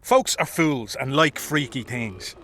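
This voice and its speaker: An actor playing a blunt, boozy common soldier in a fantasy game, coarse and combative. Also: male voice, medium pitch, animated, slow, a bit bright, a little hiss or hum. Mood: scornful. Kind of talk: video game dialogue acting.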